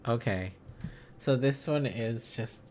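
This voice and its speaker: A man's voice: medium pitch, takes it slowly, darkish tone, slight background noise. A man hosting a casual livestream, thinking aloud as he studies, in a rambling, silly, exclaiming manner. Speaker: livestream host.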